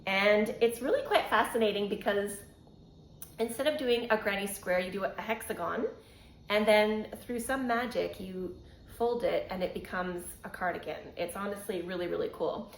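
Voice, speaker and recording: A woman's voice: mid-range voice, normal speed, a little hiss or hum. A middle-aged Canadian woman hosting a knitting podcast in a casual, chatty way, laughing often and filling gaps with ums. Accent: Canadian English. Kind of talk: casual chat. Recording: roomy echo.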